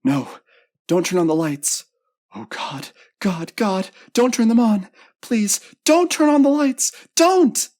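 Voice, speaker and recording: Male reader as a fearful woman, storybook horror, raised far above his own pitch, loud, urgent calling. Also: lively intonation, normal speed, hardly any pauses, natural voice. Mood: hysterical terror. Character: frantic, frightened woman calling out in dread.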